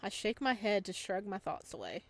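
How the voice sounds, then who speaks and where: A woman's voice: medium pitch, big pitch swings, moderate pace. A woman hosting a gaming video, reading a story aloud and adding casual commentary.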